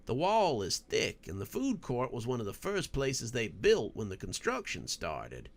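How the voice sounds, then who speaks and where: A man's voice: medium pitch, huge pitch swings, measured pace, exaggerated voice. A man voicing a tough, blunt male character in a horror story, terse and matter-of-fact.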